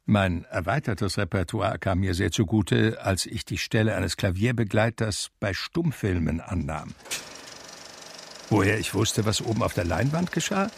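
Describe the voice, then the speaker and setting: An actor playing a wistful blind pianist who narrates his life in a radio drama, measured and reflective, with a gravelly voice. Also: lowish voice, animated, no echo, full character voice. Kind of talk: literary first-person radio drama narration.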